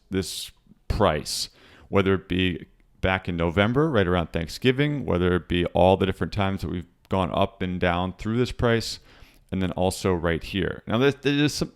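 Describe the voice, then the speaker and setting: Hoarse low-voiced man talking charts. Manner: loose, rambling, many fillers and restarts. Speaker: crypto trading show host. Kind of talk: casual market commentary over charts.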